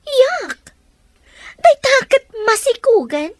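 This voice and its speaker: A woman's voice: very high-pitched, sing-song, takes it slowly, no room sound, exaggerated voice. A woman with a Filipino accent playing a spoiled, bratty student daughter in a radio drama. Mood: whiny, pouting. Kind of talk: Ilocano radio drama acting.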